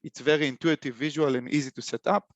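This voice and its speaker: A young man with an Israeli accent presenting a webinar, halting speech full of fillers and restarts. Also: fairly high pitch, steady tone, no echo. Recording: dull lo-fi call audio.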